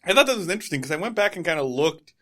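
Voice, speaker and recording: A man co-hosting a podcast, talking casually about an old sitcom. His pitch moves a lot, rising and falling as he jokes through the plot in an easy conversational way. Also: rapid-fire. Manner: animated, jokey recapping of an old sitcom episode. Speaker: podcast co-host.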